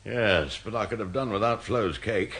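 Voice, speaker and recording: An English actor playing a cocky, sardonic older office clerk turned crook in a radio drama, with a bossy, bantering way of talking. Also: male voice, lowish voice, moderate pace, fairly bright tone, breathy edge. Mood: jovial, mocking. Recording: noisy radio drama recording with background ambience.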